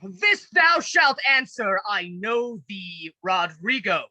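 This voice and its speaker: A woman playing a proud, indignant old senator and father in a Shakespeare radio play, imperious and deliberate. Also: medium pitch, very animated, pushed and bright, no echo, exaggerated voice. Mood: scornful, angry. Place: recorded at home over a video call.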